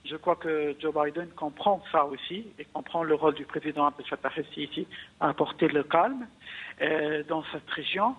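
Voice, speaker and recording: A man from Egypt giving political analysis in an interview, speaking haltingly with repeated words. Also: fairly high pitch, husky. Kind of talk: live news interview commentary. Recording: narrow-band radio phone-in sound.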